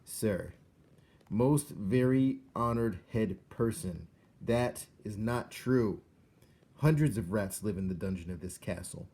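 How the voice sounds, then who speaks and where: A man's voice: lowish voice, slow, dark tone, breathy edge, exaggerated voice. A man voicing a small, earnest male mouse who pleads politely and formally in a storybook reading. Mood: urgent insisting. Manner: formal, deferential address.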